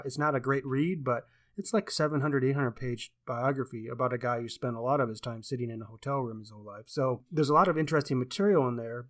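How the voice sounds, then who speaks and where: A man co-hosting a casual book discussion, speaking in an opinionated, emphatic way and repeating words for stress. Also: mid-range voice. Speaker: podcast co-host and book reviewer.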